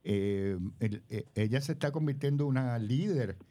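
A man's voice: medium pitch, normal speed, dark tone. An older man, a community leader speaking as a radio guest in a rambling way, full of hesitations.

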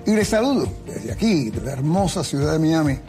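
Man, pastor host, raspy gravelly voice, friendly short questions, faint music behind. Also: on the high side, sing-song, a little fast, darkish tone.

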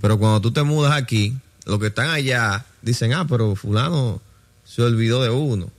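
A man's voice: mid-range voice, normal speed. A man with a Dominican accent talking casually in a group discussion about faith, telling stories and asking rhetorical questions. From Dominican Republic.

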